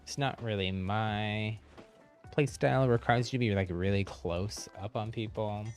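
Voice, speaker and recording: A man's voice: on the low side, moderate pace, faint music behind. A man giving casual live gameplay commentary, chatty and thinking aloud as he plays. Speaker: livestream gamer.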